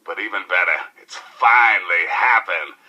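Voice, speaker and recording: An actor playing a cocky, flamboyant post-apocalyptic rebel radio DJ with an American accent and showy, slangy delivery in a video game. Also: male voice, low-pitched, bright, forceful tone, muffled recording, heavily acted. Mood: earnest, hopeful. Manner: showy, slangy, drawn-out emphasis with howls. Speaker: radio DJ and newscaster. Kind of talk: theatrical radio DJ patter.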